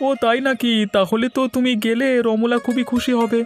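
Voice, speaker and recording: Polite cartoon village shopkeeper played by a man, unusually high male pitch, brisk, faint music underneath. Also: flat delivery. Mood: polite, friendly. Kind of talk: Bengali cartoon dialogue. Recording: light music behind the voice.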